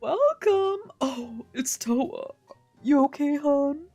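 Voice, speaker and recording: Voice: high-pitched, lively intonation, takes it slowly, darkish tone, no room sound, pitched above the performer's own voice, full character voice. A streamer voicing a friendly, doting young male bar worker in a visual novel, with a sing-song manner. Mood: cheerful, concerned. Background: background music from a video game.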